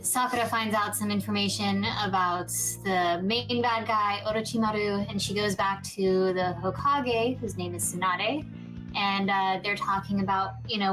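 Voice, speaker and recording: A woman's voice: medium pitch, steady tone, moderate pace, poor audio. A woman hosting a casual gameplay chat, explaining things in a conversational way. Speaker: podcast host presenting gameplay. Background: music behind the voice.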